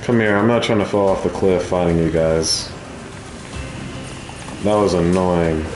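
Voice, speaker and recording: Deep-voiced man streaming in a roomy space. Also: unhurried. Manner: informal, slangy. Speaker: gaming streamer. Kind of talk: casual gameplay commentary. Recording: roomy echo, game music underneath. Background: video game music.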